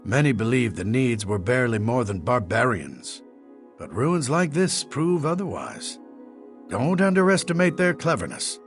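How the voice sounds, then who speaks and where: A man's voice: medium pitch, big pitch swings, normal speed, faint music behind. A man voicing an earnest, emphatic student of ancient ruins in fantasy game dialogue. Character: earnest male defender of ancient ruin-builders.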